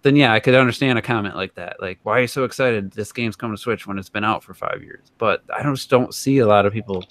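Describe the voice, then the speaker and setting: A man's voice: on the low side, fast talker, a bit bright. A man co-hosting a podcast, talking casually and giving his opinions in a rambling, conversational way. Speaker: podcast co-host.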